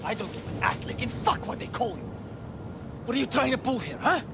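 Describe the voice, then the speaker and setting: An actor playing a foul-mouthed, hot-headed street gang boss in a video game, aggressive and taunting. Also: male voice, extremely high pitch, very animated, brisk, vocal fry, noisy background, full character voice. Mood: hostile, taunting. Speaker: gang leader character. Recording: music behind.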